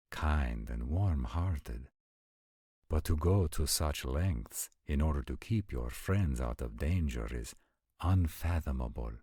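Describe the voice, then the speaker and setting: A man playing an ancient supernatural warrior in a roleplay audio drama, speaking formally and deliberately with concerned, protective gravity. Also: rumbling low, dark tone, slightly rough, dry recording, clean studio sound, exaggerated voice. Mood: admiring, earnest. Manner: formal, measured, stilted diction with deliberate pauses. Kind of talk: scripted roleplay audio acting.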